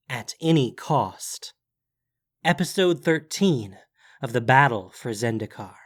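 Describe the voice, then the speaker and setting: A man with a medium-pitched voice serves as announcer and narrator. He reads scripted audio drama narration, setting up the story for the listener.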